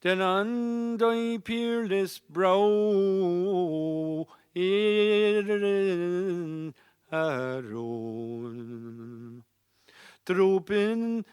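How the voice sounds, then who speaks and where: A woman giving a solemn, drawn-out, mournful rendition of an old lyrical lament. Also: mid-range voice, darkish tone, clean tone, no room sound, studio quality, soft background music.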